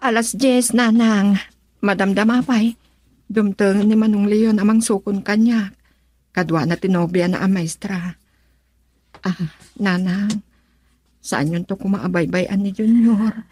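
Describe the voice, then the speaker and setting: A woman's voice: mid-range voice, steady tone, a little slow, long pauses, a little mellow, husky, no room sound. A woman playing a dutiful, tearful young wife and mother in a radio drama, with an Ilocano Filipino accent. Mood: soft, sad. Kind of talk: melodramatic radio drama acting.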